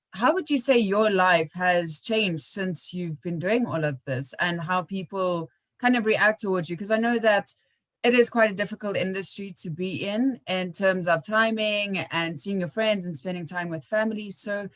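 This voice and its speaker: A woman's voice: mid-range voice, measured pace. A woman hosting a podcast, asking questions in a casual, encouraging and enthusiastic way. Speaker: podcast host, interviewer. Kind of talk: casual interview chat.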